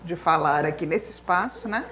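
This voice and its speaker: A woman's voice: lowish voice, animated, moderate pace, fairly bright tone. A woman in her fifties whose native language is Spanish, telling a long story of her childhood as an interview guest, with frequent fillers like "né". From Brazil. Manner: long storytelling, lots of fillers like né and então, assim. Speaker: retired professor, interview guest. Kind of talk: reminiscing life story in an interview.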